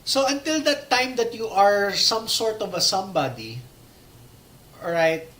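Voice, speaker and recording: Hoarse man rambling through a talk. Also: high voice, lively intonation, a little slow, fairly bright tone, poor audio. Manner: rambling, with many fillers and restarts. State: losing his voice. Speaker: presenter giving a talk on working in motorsport. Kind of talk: live-streamed slide presentation with audience questions.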